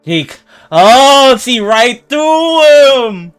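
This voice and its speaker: A man streaming a video game, giving casual, slangy and expressive reactions. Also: very high-pitched, extremely slow, clean tone. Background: game music.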